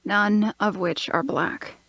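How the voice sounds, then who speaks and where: A woman's voice: medium pitch, fairly even intonation, slow, vocal fry, a bit bright, no room sound, heavily acted. A woman playing a blunt, observant, hard-nosed mercenary in a fantasy audio drama. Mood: analytical. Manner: blunt, matter-of-fact.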